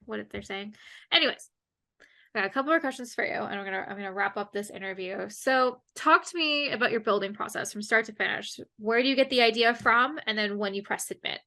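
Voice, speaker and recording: A woman's voice: mid-range voice, quick pace, bright, forceful tone. A woman hosting a casual interview on a video call, chatty and rambling with plenty of fillers. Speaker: interviewer, channel host.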